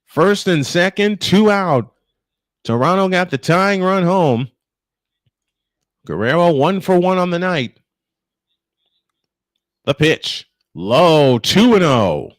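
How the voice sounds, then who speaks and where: A man's voice: high voice, lively intonation, slow, long pauses, no echo. Male baseball play-by-play announcer, dry close sound. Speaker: play-by-play commentator on a live stream. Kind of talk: live baseball play-by-play commentary.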